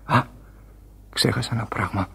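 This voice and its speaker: An actor playing a dying sailor in a radio drama, weak and wistful, halting and pleading with gasps. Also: male voice, mid-range voice, sharp tone, full character voice. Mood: resigned, tender. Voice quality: husky, gravelly. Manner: halting, pleading, breaking into whispers.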